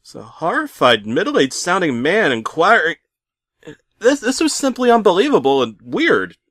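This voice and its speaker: A man narrates a creepy tale in a theatrical way, his pitch moving freely up and down. He stammers and repeats words for effect. The sound is close and dry. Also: wildly swooping pitch, a bit bright, no room sound. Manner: dramatic, halting with stammered repeats. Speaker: narrator of a parody horror story. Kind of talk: scripted first-person creepypasta narration.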